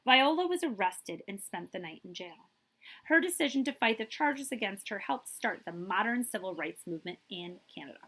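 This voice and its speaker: Expressive woman reading aloud, lively swooping pitch. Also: moderate pace, poor audio. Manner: emphatic, drawing out key words for young listeners. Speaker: librarian reading aloud to children. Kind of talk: expressive picture-book read-aloud with brief asides.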